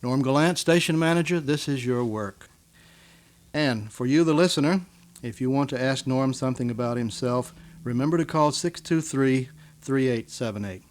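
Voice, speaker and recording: A man's voice: mid-range voice, moderate pace. American man hosting a radio interview. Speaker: guest host and interviewer.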